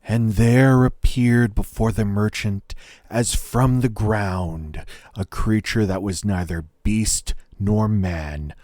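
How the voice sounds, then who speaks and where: A man reading a fairy tale as storyteller, in a dramatic, lyrical and expressive style. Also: on the low side, slow pace, no echo, studio quality. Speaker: storyteller, narrator. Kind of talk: scripted fairy-tale narration.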